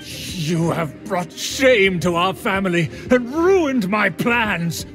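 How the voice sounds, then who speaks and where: An actor playing a haughty, bitter, scheming noble uncle in a video game, speaking in a pompous, formal, theatrical way. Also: male voice, high-pitched, animated, slow, over music, exaggerated voice. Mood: indignant, scolding.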